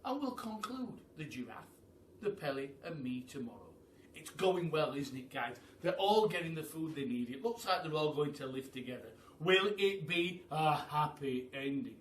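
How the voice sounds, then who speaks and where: A man's voice: on the high side, very animated, moderate pace, slight background noise. A man reading a children's story aloud in the manner of a primary school storyteller. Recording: roomy echo, far from mic.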